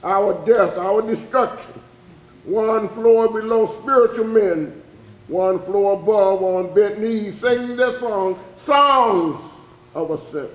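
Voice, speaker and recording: A man performing an anguished witness for enslaved captives, reciting dramatic verse in an intense, incantatory way with drawn-out emphatic words. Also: high voice. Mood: pleading, anguished. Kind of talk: dramatic spoken-word poetry recitation, readers theatre. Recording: echoey room on stage.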